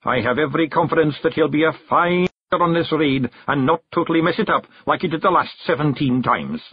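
Bossy little fairy clan chief, voiced by a man, thick Scottish brogue, high and animated, dull lo-fi sound. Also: brisk, breathy edge, no echo. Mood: commanding.

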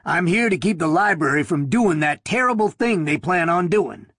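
A man doing a gruff, sullen burly protester. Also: moderate pace, no room sound, clean studio sound, heavily acted. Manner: gruff, excitable, rises to falsetto.

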